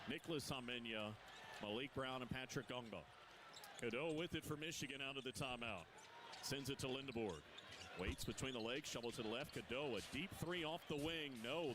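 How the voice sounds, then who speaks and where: A man calling a live college basketball game on radio, his voice climbing with each big play. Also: mid-range voice. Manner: rapid, rising excitement on big plays. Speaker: play-by-play announcer. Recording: broadcast with loud arena noise.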